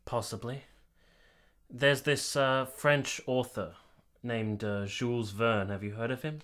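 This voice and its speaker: Actor as a reserved, polite period gentleman. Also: medium pitch, steady tone, takes it slowly. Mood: thoughtful. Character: reserved, dutiful man in 1870s Italy, guardedly kind. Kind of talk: radio drama acting.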